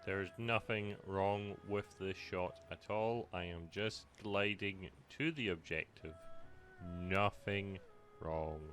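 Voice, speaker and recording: A man giving playful, halting, joking commentary while playing a video game. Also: sits low, steady tone, fairly bright tone, slight background noise. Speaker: game streamer.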